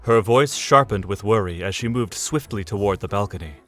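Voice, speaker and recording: Lower-voiced man narrating a fantasy drama. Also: fairly quick, soft background music. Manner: expressive storytelling narration.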